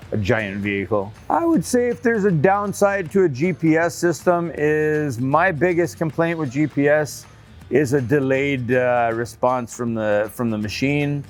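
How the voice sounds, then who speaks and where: A middle-aged trucker talks casually to his viewers, rambling through his asides and laughing often. His voice sits at an everyday pitch, with faint music playing underneath. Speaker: truck driver vlogger. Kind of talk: casual talk to camera.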